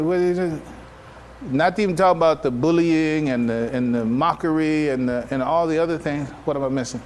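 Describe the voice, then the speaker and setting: A male imam delivers an informal live lecture in a fairly high-pitched voice. He leans on repetition for emphasis and keeps checking that listeners follow. The recording carries clear background noise. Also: a little mellow. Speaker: imam giving a public address.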